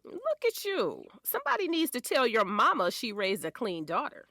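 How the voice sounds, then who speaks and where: A woman's voice: fairly high pitch, wildly swooping pitch, normal speed, a bit bright, dry recording, heavily acted. A woman playing a doting but firm wife and mother in her forties, teasing and sassy, in a naturalistic audio drama. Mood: affectionate.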